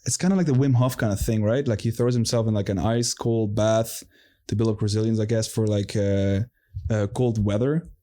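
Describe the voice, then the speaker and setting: A man's voice: on the low side, fairly even intonation, normal speed, mellow. A man co-hosting a casual podcast chat, rambling with many fillers and repeated agreements. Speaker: podcast co-host.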